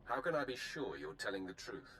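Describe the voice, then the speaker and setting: An actor playing a wary male Sith warrior in a video game, with a gravelly voice. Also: on the low side, very animated, brisk, pushed and bright, slight background noise, low-quality recording. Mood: wary, questioning.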